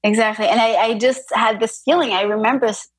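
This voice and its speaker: A woman's voice: a little high, fairly even intonation, brisk, slightly rough, dry recording, rough recording. A young woman with an accent mixing French and Spanish, a yoga teacher talking thoughtfully as a podcast guest, with many fillers and restarts. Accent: French and Spanish influenced. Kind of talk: casual interview chat.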